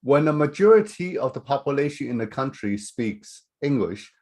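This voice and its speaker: A man's voice: medium pitch, lively intonation, normal speed, darkish tone. A middle-aged man from Canada hosting a live webinar Q and A, talking loosely with lots of fillers and restarts. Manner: rambling, many fillers and restarts. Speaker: webinar host.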